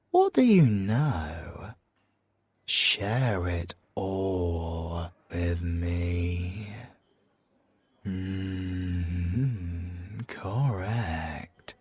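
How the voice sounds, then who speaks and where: A man's voice: sits low, husky, no room sound, full character voice. A man with a British accent playing a suave, flirtatious former special forces soldier in an ASMR audio roleplay, teasing and theatrical, drawing out his words and slipping into whispers. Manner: teasing, drawn-out, theatrical delivery with whispered asides. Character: suave, flirtatious, possessive male ex-special-forces bomb expert.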